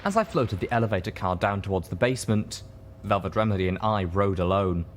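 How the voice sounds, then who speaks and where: Male story reader with a fairly low voice for dramatic fiction narration. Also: slight background noise.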